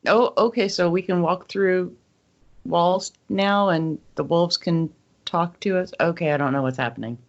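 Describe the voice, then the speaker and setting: A woman's voice: fairly low pitch, unhurried. Skeptical woman on a slightly muffled podcast. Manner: plain-spoken, skeptical. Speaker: podcast co-host. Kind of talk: casual fan discussion.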